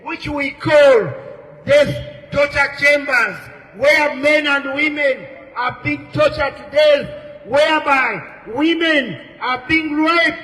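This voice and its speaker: A man's voice: very high-pitched, takes it slowly, bright, forceful tone, long reverb tail, poor audio. A man making an emphatic, declamatory protest rally speech in short, repeated phrases. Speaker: protest speaker leading chants.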